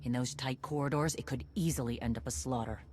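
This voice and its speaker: Tough warrior commander; blunt, dry, rallies troops. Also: female voice, rumbling low, quick pace, darkish tone, a little hiss or hum.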